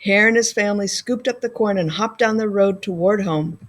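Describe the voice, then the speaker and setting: Lady librarian reading a kids story out loud, expressive, normal pitch. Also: a little fast. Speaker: school librarian reading aloud. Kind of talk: expressive children's storybook narration.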